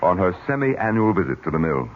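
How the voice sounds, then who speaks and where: An actor playing a smooth, guarded nephew who manages a mill, in a theatrical old-time radio mystery style. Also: male voice, deep voice, very animated, fairly quick. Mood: guarded, firm. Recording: dull, noisy old radio recording.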